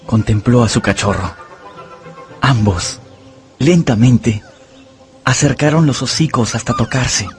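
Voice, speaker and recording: A man acting as a male storyteller for a radio adventure novel. He delivers scripted narration at a middle pitch, with music softly underneath. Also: close to an everyday voice. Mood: tender storytelling. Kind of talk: dramatized audiobook narration.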